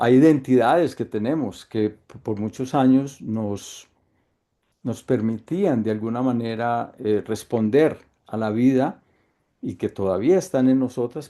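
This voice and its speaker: A middle-aged Colombian man with a Colombian accent, leading an online workshop in a calm, thoughtful way with frequent hesitations. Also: medium pitch. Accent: Colombian Spanish. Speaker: workshop facilitator. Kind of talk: reflective online workshop talk. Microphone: video call.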